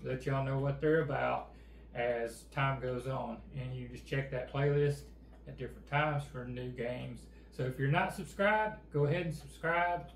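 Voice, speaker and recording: A middle-aged man talks in a relaxed, plainspoken drawl, his voice rough with gravel. He sits at a table in an echoey room, with a faint hum of noise behind him. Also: mid-range voice, measured pace. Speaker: co-host. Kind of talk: casual channel chat. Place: indoor room with game table and backdrop.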